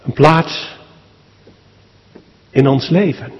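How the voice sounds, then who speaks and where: A man, a pastor, leads a solemn public prayer at a church service in short, measured phrases with deliberate pauses. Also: medium pitch, muffled recording. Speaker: pastor leading a funeral service. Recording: long church hall reverb.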